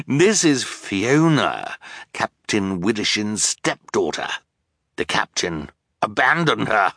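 A man's voice: fairly low pitch, sing-song, slow pace, a bit bright, no echo, clean studio sound, exaggerated voice. A man voicing a gloating, menacing male villain in an audiobook, theatrical and sneering. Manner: cackling, crowing, menacing.

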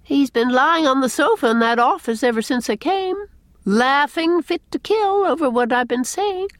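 A brisk village spinster of middle age, full of opinions and gossip, voiced by a woman reading a storybook. Her delivery is folksy and emphatic, with very lively rises and falls. The sound is dry, with no room around it. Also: sits high, no room sound, pitched above the performer's own voice. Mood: brisk, knowing.

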